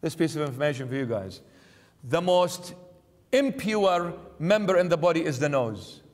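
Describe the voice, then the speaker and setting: A man's voice: fairly high pitch, sing-song, normal speed, darkish tone. A middle-aged man preaching an emphatic sermon in a church, driving points home with repeated lists and rhetorical questions. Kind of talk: sermon commentary. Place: church sanctuary, indoors. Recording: reverberant church hall.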